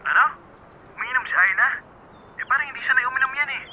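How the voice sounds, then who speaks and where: A man's voice: very high voice, fast. Tinny phone call, reluctant Filipino man. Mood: surprised. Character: tired young man on the phone, puzzled, reluctant ex-boyfriend. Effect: telephone filter. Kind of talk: Filipino radio drama acting. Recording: tinny phone line, light noise.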